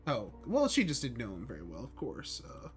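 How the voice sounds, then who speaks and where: A man's voice: medium pitch, wildly swooping pitch, brisk, soft background music. A man streaming a game and giving casual running commentary as he plays. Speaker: streamer, speedrunner. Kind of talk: casual live gaming commentary.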